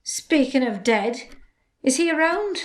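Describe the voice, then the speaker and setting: A woman's voice: sits high, sing-song, unhurried. A young woman with an Irish accent playing a forthright, defiant daughter in an amateur drama. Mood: frank, annoyed. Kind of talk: amateur stage drama acting.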